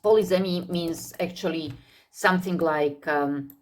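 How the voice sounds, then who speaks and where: Female English teacher, lowish voice, unscripted explainer, searches for words and repeats herself as she goes. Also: unhurried. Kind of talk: unscripted video lesson.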